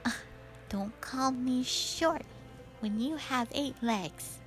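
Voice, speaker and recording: Woman as an unhurried, earnest little witch sister. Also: high-pitched, soft background music. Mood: indignant. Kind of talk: dramatic audio roleplay.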